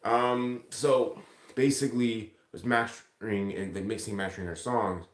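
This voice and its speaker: A man's voice: fairly low pitch, fairly even intonation, moderate pace. A man chatting casually on a livestream, rambling and swearing heavily as he replies to comments. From Ontario, Canada. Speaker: live streamer. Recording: echoey room sound.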